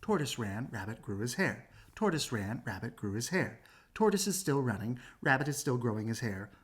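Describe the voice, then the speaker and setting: A woman reading a children's story aloud in an animated, husky narrating voice. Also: extremely low pitch, moderate pace. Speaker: storybook reader.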